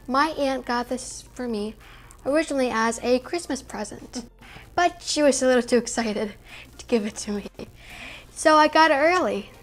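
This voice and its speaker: A young woman with a high voice gushes happily about her skincare favorites, keeping it casual and upbeat. She sits beside her mother in a room at home, recorded through the camera mic with faint music underneath. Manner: enthusiastic, gushing about products. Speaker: beauty vlogger, daughter. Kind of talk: casual product review. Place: indoor home room with string lights.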